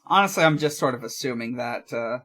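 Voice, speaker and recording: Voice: mid-range voice, expressive, a little fast, a bit bright. A podcast co-host casually recapping a story in a hesitant, matter-of-fact way. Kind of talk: casual recap of a fan comic.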